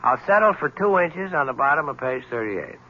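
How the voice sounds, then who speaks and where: An actor playing a dry, wisecracking newspaper reporter in a 1970s radio drama. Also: male voice, a little high, animated, fairly quick, husky. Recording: dull old radio broadcast with noise.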